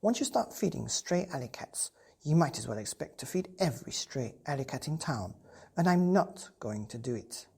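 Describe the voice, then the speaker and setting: A woman's voice: a bass voice, animated, normal speed, creaky, breathy edge, heavily acted. A woman voicing a stern, emphatic mother scolding her son in a children's storybook. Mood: indignant, scolding. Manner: sharp-tongued, emphatic.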